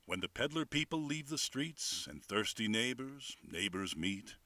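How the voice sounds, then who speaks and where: An older man with a rich, admired voice, reciting a poem as a guest reader in an expressive, lilting way. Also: mid-range voice, measured pace, dry recording. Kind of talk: dramatic poetry reading. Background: faint bagpipe and whistle music.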